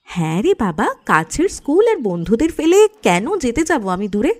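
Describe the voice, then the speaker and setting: Woman playing a high-voiced, feisty teenage schoolgirl. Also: sing-song, normal speed, darkish tone. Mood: playful, casual. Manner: bantering, spirited. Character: feisty, playful teenage schoolgirl in a love story. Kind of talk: Bengali audio story acting.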